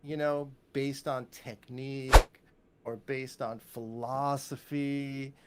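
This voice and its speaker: A man's voice: medium pitch, flat delivery, takes it slowly, very noisy. A man from the United States chatting casually as an interview guest, opinionated and emphatic, often repeating phrases for weight. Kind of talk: casual chat.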